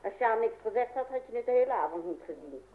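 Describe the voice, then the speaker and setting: A woman's voice: a little high, animated, quick pace, close to an everyday voice. An actress playing a fussy, scolding middle-aged mother in a radio comedy. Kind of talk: old radio comedy-drama acting. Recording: tinny, echoey old radio recording.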